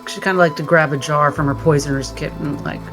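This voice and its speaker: A man's voice: on the high side, fairly even intonation, quick pace, over music, low-quality recording. A man playing in a tabletop roleplaying game, describing and speaking for a character. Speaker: player.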